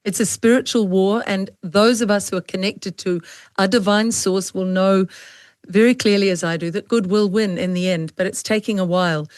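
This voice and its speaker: Female host, online panel chat. Medium pitch, dry sound, earnest and emphatic delivery. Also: steady tone, moderate pace, no echo. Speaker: interview host. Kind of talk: conversational online panel interview.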